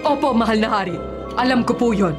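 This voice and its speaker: A woman's voice: fairly high pitch, brisk, close to an everyday voice. A woman playing a deferential servant of a monster king in a radio horror drama, formal and submissive. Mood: anxious deference. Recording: dramatic music underneath.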